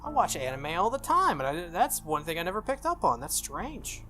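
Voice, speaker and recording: A gaming video host reading a visual novel aloud in an informal, expressive way and breaking off for casual asides. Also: medium pitch, a little fast. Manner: informal, expressive reader who breaks off to comment. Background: background music.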